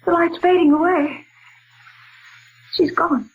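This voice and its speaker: An actress playing a genteel, easily frightened woman in a theatrical, halting 1940s radio drama style. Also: extremely high pitch. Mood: frightened, awed. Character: frightened, genteel woman recounting ghostly canyon ordeal. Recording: muffled, noisy 1940s radio recording.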